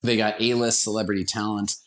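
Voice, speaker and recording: A man with a fairly low-pitched voice talks as a podcast guest. He is enthusiastic and conversational, explaining things in a casual interview style. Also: level tone, brisk, a bit bright. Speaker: interview guest, radio theater producer.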